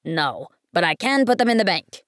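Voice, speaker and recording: A woman voicing a pompous, peevish middle-aged businessman who insists on matters of consequence, speaking loudly and firmly. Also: sits high, big pitch swings, normal speed, dry recording, studio quality.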